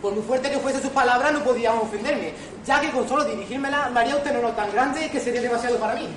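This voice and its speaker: A man's voice: very high-pitched, fast talker, a bit bright. A man playing a gallant suitor posing as a humble servant, deferential and flowery, in an amateur radio farce. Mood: courtly. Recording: distant, echoey, noisy amateur recording.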